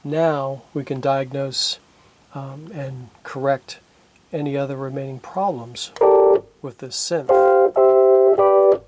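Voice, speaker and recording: A man talking casually through his repair work at a home workbench, thinking aloud and halting with fillers, recorded on a camera microphone. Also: medium pitch, fairly even intonation, music in the background, low-quality recording. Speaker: hobbyist synth repairer. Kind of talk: casual narration while working. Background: synthesizer being played.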